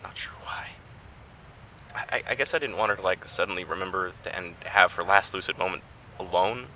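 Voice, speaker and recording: A man acting a wry, hesitant test subject in an audio drama, speaking haltingly and naturally. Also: low-pitched, close to an everyday voice. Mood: subdued, wistful. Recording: dull lo-fi microphone, faint noise.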